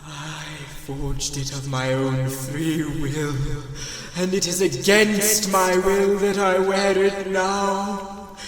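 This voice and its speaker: A man voicing the ghost of a dead old business partner bound in chains, bellowing in slow, solemn, drawn-out speech, in an echoey room with long reverb. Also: high-pitched, level tone, slight background noise, voice pushed up. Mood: mournful.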